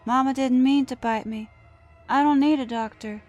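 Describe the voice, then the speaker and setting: A woman voicing a dazed, childlike, deranged young woman in a horror story, speaking in a flat, plaintive, repetitive way. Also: high-pitched, normal speed, dull audio, soft background music, pitched above the performer's own voice, close to an everyday voice. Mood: dazed, eerie.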